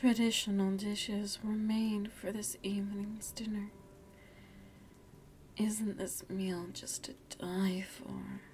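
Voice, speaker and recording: Woman acting a slow, breathy, seductive vampire lady. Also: mid-range voice, a little mellow, slight background noise, natural voice. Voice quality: breathy, often dropping into a whisper. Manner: formal, teasing, velvety politeness with veiled menace. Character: seductive, aristocratic female vampire lady hosting a guest. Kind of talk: ASMR roleplay, intimate and slow.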